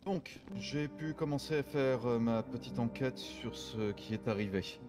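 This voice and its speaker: A man playing a courteous private detective in online roleplay, explaining things formally and deliberately, with halting pauses. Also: mid-range voice, close to an everyday voice. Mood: methodical. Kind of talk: improvised French online roleplay. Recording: background music under the voice.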